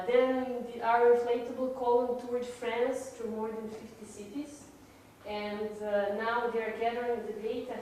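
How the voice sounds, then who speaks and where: A woman's voice: mid-range voice, a little mellow, a little hiss or hum. A woman with a Serbian accent giving a conference presentation, walking through her slides with frequent "uh"s. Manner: explaining slides with frequent fillers. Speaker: patient advocacy speaker. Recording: echoey hall from far off.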